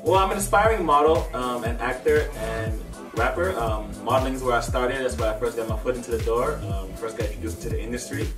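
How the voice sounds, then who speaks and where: A young man from Miami, a guest on an interview show, talking in a relaxed way with lots of fillers. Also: medium pitch, fairly quick, hardly any pauses. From Miami, USA. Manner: relaxed, uses many fillers. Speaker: guest, aspiring model. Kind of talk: casual interview. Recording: echoey room, far from mic, music behind.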